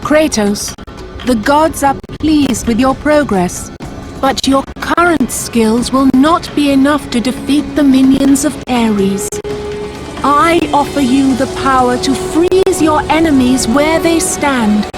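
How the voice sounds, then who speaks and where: Slow, regal Greek goddess. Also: female voice, high voice, rough recording. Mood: gracious, imperious. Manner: stately, commanding. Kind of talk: dramatic video game acting. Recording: music behind.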